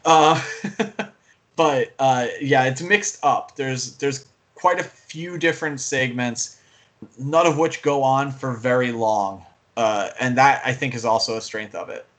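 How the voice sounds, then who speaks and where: A man's voice: medium pitch, slow, a bit bright. A man co-hosting a podcast, talking casually about movies in an enthusiastic, rambling way. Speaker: podcast co-host and film fan.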